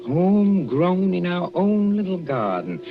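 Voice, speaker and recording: An actor playing a jealous husband in an old radio suspense drama, sardonic and hesitant, with mock affection and menace. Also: male voice, high-pitched, very animated, unhurried, faint music behind, exaggerated voice. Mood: gloating menace. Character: jealous, menacing husband, coolly mocking as he reveals poisoning. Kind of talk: 1940s radio suspense acting. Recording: noisy muffled old radio recording.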